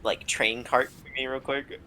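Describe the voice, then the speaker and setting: A man's voice: mid-range voice, takes it slowly, slight background noise, poor audio. A man playing in a tabletop game, chatting casually and laughing often. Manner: laughs often, playful and animated. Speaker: player. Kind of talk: casual chat.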